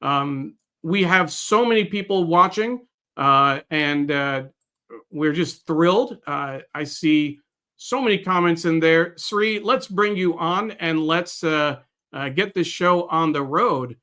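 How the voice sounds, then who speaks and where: A man co-hosting a live show casually, with a hesitant, halting delivery full of pauses. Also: a little high, bright, forceful tone. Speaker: co-host.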